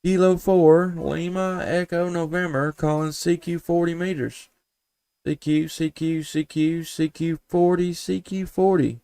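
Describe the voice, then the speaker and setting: A Southern US man with a clear, smooth, slightly high voice works the ham radio. His manner is folksy and polite, and he talks in casual radio call-and-response. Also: flat delivery, unhurried, mellow, no room sound. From Tennessee, United States. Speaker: amateur radio operator, live streamer.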